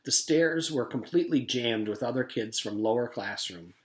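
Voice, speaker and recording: A man's voice: mid-range voice, animated, normal speed, roomy sound. A man reading a children's story aloud as a teacher would to his class. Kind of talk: storybook read-aloud with character voices.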